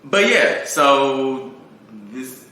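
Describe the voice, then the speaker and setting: A man chatting casually as an interview guest, telling stories in an animated way. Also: medium pitch, drawn-out words. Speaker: guest, singer-songwriter. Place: echoey room. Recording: very echoey room, faint noise.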